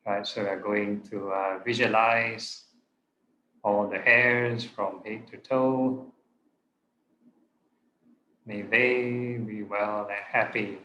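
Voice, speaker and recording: A man leads a guided meditation as a monk and teacher, speaking very slowly in short, deliberate phrases. His voice is somewhat low and has a clearly bright edge. It comes through a roomy, echoing recording. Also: fairly even intonation. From Singapore. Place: online video call session in a room. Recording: roomy echo, clear background noise.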